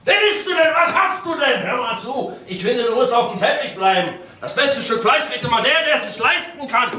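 Shrill, sneering, crude father. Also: expressive, moderate pace, heavily acted. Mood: scornful rage. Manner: shouting, sneering, coarse. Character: loud, crude, contemptuous middle-aged father. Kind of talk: German crime radio play acting. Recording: roomy radio play with background noise.

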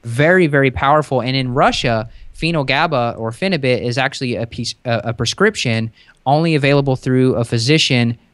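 A young man chats casually as a podcast guest, easygoing and rambling as he explains things at length. His voice is smooth and clear, right up close to the mic. The sound is dry, with almost no room in it. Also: medium pitch, a little fast, dry recording. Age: young adult. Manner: relaxed, chatty, explains in long rambling runs. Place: home kitchen, over a video call. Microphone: close desk mic.

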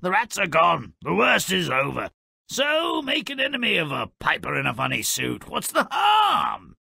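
A man playing a gleefully cynical, grubby little imp who narrates video game fairy tales in a raspy, mocking, hammy way. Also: high voice, huge pitch swings, normal speed, sharp tone, no room sound, clean studio sound, exaggerated voice. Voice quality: gravelly, raspy. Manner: sardonic, mocking, hammy delivery.

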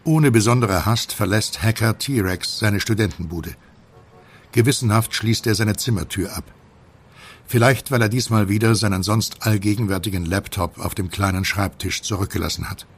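A man narrating an audio drama from a script in a measured, deliberate way. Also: fairly low pitch, breathy edge.

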